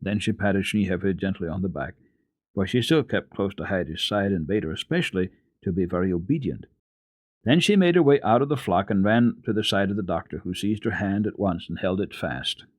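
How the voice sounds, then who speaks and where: A man giving a measured, dramatic reading of a children's story as a radio storyteller. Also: sits low, no room sound. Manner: expressive, measured storytelling delivery. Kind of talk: dramatic scripted narration of a children's book.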